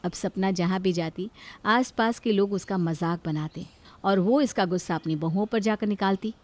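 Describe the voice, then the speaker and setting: A woman's voice: medium pitch, moderate pace. A woman reading scripted narration for a cartoon moral story as its storyteller.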